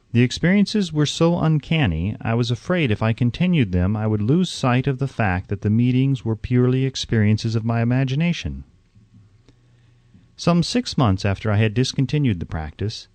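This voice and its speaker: A man delivers scripted audiobook narration in a medium-pitched voice with plenty of melodic movement. His delivery is measured and deliberate, and the recording is dry and close, free of room sound. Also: a little mellow, no echo.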